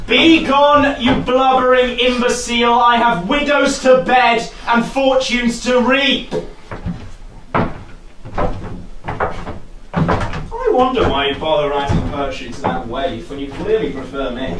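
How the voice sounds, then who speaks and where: An actor playing an arrogant young rake in a rhyming costume comedy, declaiming with pompous, sneering relish. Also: male voice, very high-pitched, a little slow. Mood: scornful. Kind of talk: theatrical costume comedy in rhyme. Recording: echoey room, recorded from far off.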